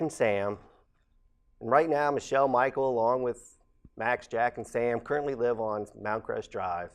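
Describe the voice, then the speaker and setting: A man, giving a measured, earnest campaign statement as a candidate for council president. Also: medium pitch. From Ohio, USA. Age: late 40s.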